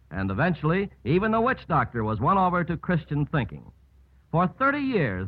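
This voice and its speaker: A man's voice: on the high side, breathy edge. A man with an American accent reading scripted narration as a 1950s radio host. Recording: muffled old 1950s radio broadcast.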